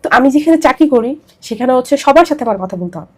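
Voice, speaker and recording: A woman's voice: sits high, expressive, fast, slightly rough. A young woman with a Bangladeshi accent telling her personal story as an interview guest, emphatic and repetitive as she pours out her account.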